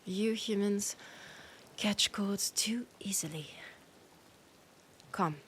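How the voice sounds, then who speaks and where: Woman acting a female vampire scholar, French accent, unhurried, irritated, sardonic, breathy asides, audio roleplay, faint noise behind. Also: medium pitch. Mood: haughty, dismissive. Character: annoyed, haughty female vampire scholar, ancient and hungry. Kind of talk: scripted roleplay audio drama.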